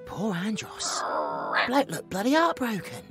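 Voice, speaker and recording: Vrouw als levendige, brutale Britse bard. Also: female voice, fairly high pitch, unhurried, faint music behind. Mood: wry, reflective. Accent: British. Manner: colloquial, cheeky, expressive. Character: feisty, chatty, loyal female bard. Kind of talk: fantasy audio drama acting, narrating to the audience.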